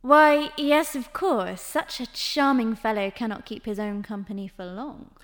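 Woman acting sly tavern madam, fantasy drama, highish voice, polite n coy, very composed. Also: measured pace, close to an everyday voice. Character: poised, sly female tavern and brothel owner.

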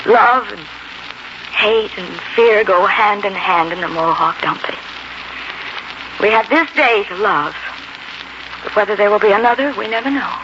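An actress playing an intense, devoted young frontier bride in a heartfelt, dramatic 1940s radio style. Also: female voice, mid-range voice, measured pace, pauses often, lightly acted. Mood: bitter, grave. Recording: noisy, muffled 1940s radio recording.

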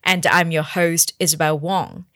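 A woman hosting a podcast, reading measured, scripted narration. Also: sits low, steady tone, fairly bright tone, dry recording, clean studio sound. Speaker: podcast host.

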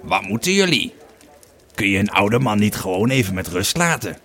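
Low-pitched old legionary played by a man, male, gruff, weary, grumpy, slow storyteller, audio drama. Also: sing-song, a bit bright. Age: older.

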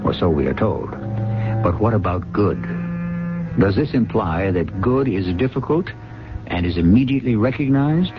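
A man introduces a mystery drama in a deliberate, theatrical, philosophical style. His medium-pitched voice is very expressive, set over clear music on a dull vintage radio recording. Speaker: mystery series host. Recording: muffled vintage radio broadcast.

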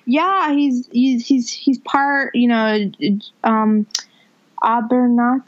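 A woman's voice: on the high side, fairly even intonation, takes it slowly. A woman in casual conversation, agreeable and filler-heavy, often saying um and like. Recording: dull lo-fi audio.

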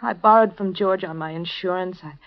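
A woman's voice: fairly low pitch, fairly quick, natural voice. A woman playing a frightened, pleading radio-drama wife. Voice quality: breathless, pleading, stammering delivery. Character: frightened, desperate young wife in danger. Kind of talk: 1950s radio drama acting. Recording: dull old radio broadcast recording.

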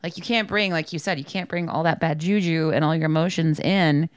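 A woman co-hosting a casual podcast chat, expressive and emphatic, often acting out dialogue. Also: deep voice, brisk, clean tone. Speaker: podcast co-host.

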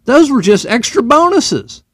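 A man's voice: extremely high pitch, sing-song, measured pace, breathy edge, no room sound, studio quality. A man, a military veteran, delivering a profane, sarcastic and heated opinion monologue.

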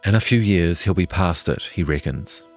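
A man's voice: low voice, moderate pace. A man with a New Zealand accent reading a scripted longform feature as a journalist. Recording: music behind the voice.

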